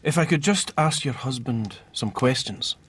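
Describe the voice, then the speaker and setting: An actor playing a patient, polite young doctor with a Scottish accent in a period radio drama. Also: male voice, medium pitch, animated, normal speed, fairly bright tone, husky. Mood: patient, professional.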